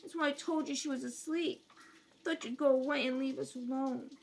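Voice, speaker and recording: A woman's voice: high-pitched, steady tone, moderate pace, creaky. A woman playing a stubborn, wary little girl in a radio drama, giving reluctant, childlike answers. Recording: homemade kitchen-table recording with background noise.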